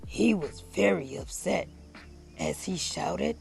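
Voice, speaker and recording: Storybook narrator, super slow n really creaky, dramatic swoopy delivery, reading to kids. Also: medium pitch, huge pitch swings, faint music behind. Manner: theatrical, deliberate, drawn-out phrasing. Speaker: storybook reader. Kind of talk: dramatic children's read-aloud narration.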